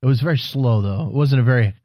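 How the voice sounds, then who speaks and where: A man's voice: medium pitch, fast, darkish tone, no room sound, clean studio sound. A man co-hosting a casual wrestling chat, joking and rambling, swearing freely. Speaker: podcast co-host.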